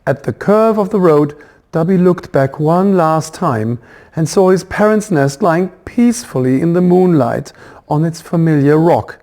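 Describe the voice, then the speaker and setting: A man reading a children's book as its author, in expressive storybook narration. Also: on the high side, unhurried.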